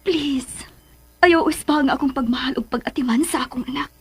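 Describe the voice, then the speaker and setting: A woman's voice: high-pitched, a little fast, dry recording, natural voice. A woman with a Cebuano accent playing an anxious, protective middle-aged mother in love in a radio drama. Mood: worried.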